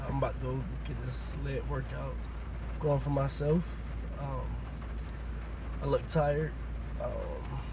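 A man in his thirties talking casually into his phone in his parked car, with relaxed "um" pauses and friendly sign-offs. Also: medium pitch, background noise. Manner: relaxed, many "um" fillers, upbeat sign-offs. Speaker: fitness vlogger. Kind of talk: casual vlog chat. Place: parked car, then a large gym. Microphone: phone at arm's length.

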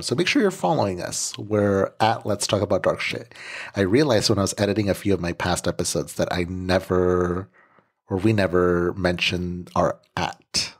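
A man hosting a podcast in casual chat, relaxed and full of fillers and quick laughs, speaking into a podcast microphone. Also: sits low. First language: English. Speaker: podcast host.

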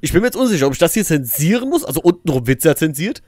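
A man's voice: a little high, big pitch swings, racing, darkish tone. A man giving casual, informal let's play commentary, joking and muttering to himself as he plays. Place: voice-over recorded apart from the game, game music behind.

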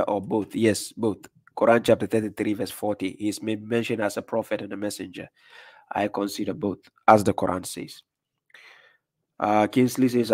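Low-voiced man hosting a livestream. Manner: reads viewer comments aloud, frequent "uh" and "right?". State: recovering from losing his voice. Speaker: livestream host. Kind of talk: casual livestream chat. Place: dark room with curtains, indoors. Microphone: desk condenser mic in front.

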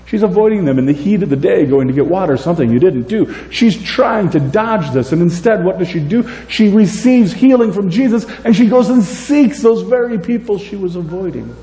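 Man in his 40s, pastor, sermon, animated delivery, warm dark tone, echoey sanctuary. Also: high-pitched, normal speed, hardly any pauses. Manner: animated, gesturing widely, swings between loud and soft. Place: church sanctuary, indoors. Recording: echoey church room, faint hum.

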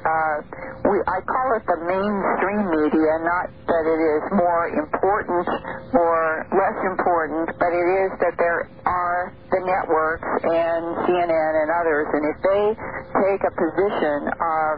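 A woman guest on a radio talk show, explaining her views at a measured pace with pauses in mid-sentence. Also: on the low side, steady tone. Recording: tinny lo-fi radio broadcast, faint hiss.

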